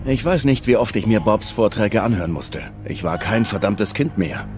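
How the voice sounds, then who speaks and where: A man's voice: lowish voice, animated, normal speed, lightly acted. A man playing a reckless, frustrated young man in debt, telling his side defensively in a scripted true-crime dramatization. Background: music.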